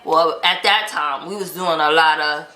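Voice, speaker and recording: A woman's voice: sits low, moderate pace. An American woman telling a story in a casual, chatty way, acting out bits of quoted dialogue as host. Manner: animated retelling with quoted dialogue. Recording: roomy echo, light background noise.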